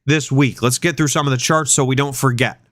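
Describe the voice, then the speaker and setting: A man's voice: medium pitch, fairly even intonation, brisk, fairly bright tone, no room sound, clean studio sound. A man hosting an investing show, congested from a sinus infection, rambling and often repeating words as he thinks aloud. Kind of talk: casual investing chat.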